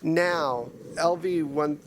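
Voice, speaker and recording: A man's voice: a little high, extremely slow, noisy background. A man casually narrating and running a tabletop role-playing game as game master.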